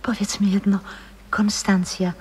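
An actress playing a frail old former opera singer, adoring and wistful, in poetic 1960s radio drama style. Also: female voice, mid-range voice, level tone, a little slow, a little hiss or hum, close to an everyday voice. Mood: tender longing. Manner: poetic, theatrical, hushed and breathy. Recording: old radio recording with long reverb.

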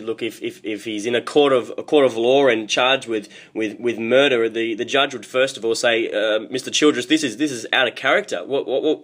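A male sports host conducts a broadcast interview in a mid-pitched voice. He phrases his questions haltingly, often repeating words as he goes. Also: fairly bright tone.

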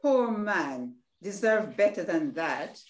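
Inquisitive, indignant little sister, Caribbean older woman reader; lively intonation, stage-play reading. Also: on the low side, takes it slowly. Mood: troubled, questioning. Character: inquisitive, indignant, tender-hearted younger sister in Barbados. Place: indoor room at home, on video call. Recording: roomy video call sound.

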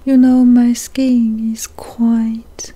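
A woman's voice: fairly high pitch, level tone, very slow, mellow. A woman co-hosting a podcast in casual, flirty ASMR chat, playful and teasing, close to the microphone. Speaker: podcast co-host.

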